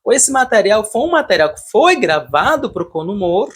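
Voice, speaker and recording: A man's voice: sits high, big pitch swings, moderate pace, fairly bright tone, breathy edge. A Brazilian man giving casual, unscripted commentary as a video reviewer, repeating words and leaning emphatically on his opinions.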